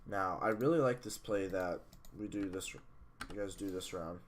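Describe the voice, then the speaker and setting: Man coaching over a match replay, hoarse. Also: low-pitched, moderate pace, slight background noise. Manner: analytical, blunt, swears at software glitches. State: losing his voice. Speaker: game coach reviewing a match. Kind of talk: casual match review commentary.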